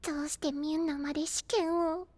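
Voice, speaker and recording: A man's voice: extremely high pitch, steady tone, fast talker, a little mellow, no echo. An actor voicing a small, cute, timid childlike boy, flustered and polite, in an anime voice drama.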